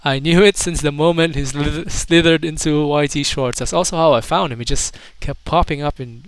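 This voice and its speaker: A man's voice: fairly high pitch, steady tone, normal speed, smooth, no echo. A man doing casual YouTube commentary, reading comments aloud in a mocking, incredulous manner with hesitant asides.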